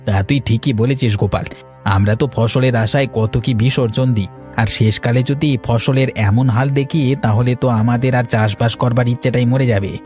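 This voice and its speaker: Sensible village farmer played by a man, medium-pitched. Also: fairly even intonation, a little fast, darkish tone, faint music behind, natural voice. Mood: concerned, resigned. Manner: advising, matter-of-fact. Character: sensible, resigned elder village farmer, brotherly to neighbour. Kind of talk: cartoon story dialogue.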